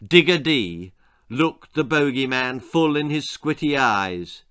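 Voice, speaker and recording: A man's voice: mid-range voice, animated, slow pace, a bit bright, clean tone. A man reading a children's horror-comedy story in a theatrical, precise storytelling style. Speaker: author reading his own story.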